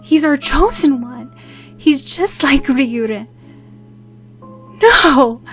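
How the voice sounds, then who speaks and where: Distraught mother, high and wailing, acted by a reader. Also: expressive, extremely slow, a bit bright, faint music behind, exaggerated voice. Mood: desperate denial. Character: distraught mother, moaning in desperate disbelief, scolding half-heartedly. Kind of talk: dramatic audiobook character voice.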